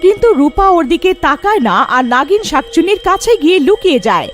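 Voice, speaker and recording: A woman narrator delivers a scripted story. Her voice is high and animated in pitch, with faint music behind it. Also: fairly quick.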